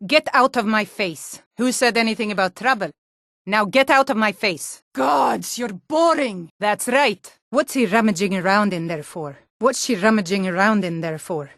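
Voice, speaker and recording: An actress playing a hardy Nordic woman of a fantasy realm in a video game, speaking in a firm, earnest, deliberate way. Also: medium pitch, fairly bright tone, no room sound, studio quality, close to an everyday voice. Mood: scornful, threatening. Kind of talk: scripted fantasy video game character dialogue.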